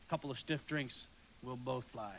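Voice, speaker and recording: A man's voice: medium pitch, moderate pace, noisy background, lightly acted. An actor playing a despairing, sardonic family man in a live radio play, wry and weary, sometimes stammering. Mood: bewildered, wry.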